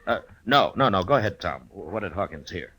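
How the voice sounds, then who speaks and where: An actor playing a weary, watchful Old West lawman in a 1950s radio western, plain-spoken and measured, with an American accent. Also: low-pitched, animated, pushed and bright, husky, exaggerated voice. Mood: grave. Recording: old radio recording with music.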